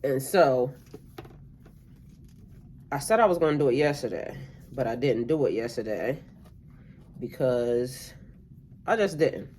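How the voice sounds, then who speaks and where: A woman's voice: a bass voice, expressive, a little slow, long pauses, a little mellow. A middle-aged woman chats to camera as a tarot reader, drifting from thought to thought with plenty of ums and restarts. Her nose sounds a bit stuffy. She sits at home with a faint hiss behind her. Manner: meandering, with many fillers and restarts. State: slightly stuffy-nosed. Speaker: tarot reader and spiritual coach. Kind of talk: casual rambling monologue to camera.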